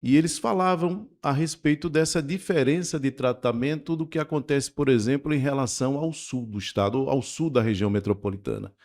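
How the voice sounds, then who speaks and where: A man with a medium-pitched voice co-hosts a radio interview. He recaps recent events and then builds up to his question for the guest. His delivery is conversational and orderly. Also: brisk, few pauses, a little mellow. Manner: framing questions, recapping news. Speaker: radio co-host.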